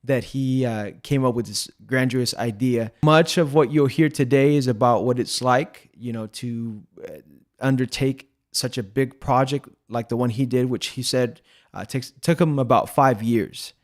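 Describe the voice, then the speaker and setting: A man's voice: medium pitch, a little slow. A man hosting a podcast, friendly and casual, with easy slang. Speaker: podcast host. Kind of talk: casual interview.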